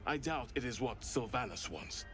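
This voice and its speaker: A man's voice: mid-range voice, expressive, moderate pace, a bit bright, lightly acted. A man playing a solemn druid in a fantasy game, with a mid-range voice that rises and falls dramatically. He sounds earnest and troubled, over faint music. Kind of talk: fantasy video game acting.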